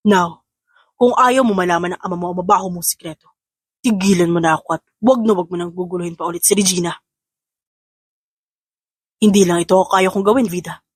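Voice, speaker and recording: Woman as an intense husky man, talking fast. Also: lowish voice, dry recording, clean studio sound. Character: intense, possessive young man, threatening and lovestruck. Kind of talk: scripted audio drama acting in Tagalog.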